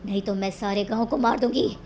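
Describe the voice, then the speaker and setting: A woman's voice: mid-range voice, fairly even intonation, fairly quick, a little mellow, recorded from a distance, lightly acted. A woman voicing a menacing, vengeful witch ghost in a horror story. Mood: threatening.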